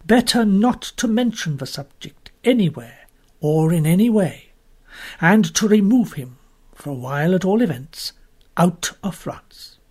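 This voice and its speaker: A cautious elderly gentleman banker speaks in measured, formal sentences, as an audiobook reader plays him. The delivery moves lively up and down, with a slightly breathy tone. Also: medium pitch. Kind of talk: audiobook character voice.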